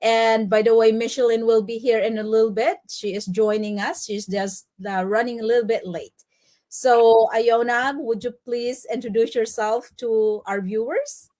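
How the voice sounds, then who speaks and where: A woman hosts a live online interview with a slightly high voice. She is friendly and a little halting as she welcomes guests and asks questions. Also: steady tone, a little mellow. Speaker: interview host.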